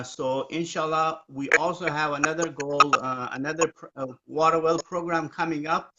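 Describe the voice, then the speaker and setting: A man's voice: a little high, noisy background. A man hosting a casual live stream chat about his aid work, talking hesitantly with many fillers. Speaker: aid agency founder, live stream host. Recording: dull lo-fi live stream audio.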